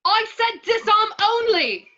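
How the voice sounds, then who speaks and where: A woman's voice: very high voice, lively intonation, a little slow, sharp tone, heavily acted. A woman voicing a vain male wizard teacher in a script read, theatrical and breezy, on a dull home mic. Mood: flustered command. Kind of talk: online script table read.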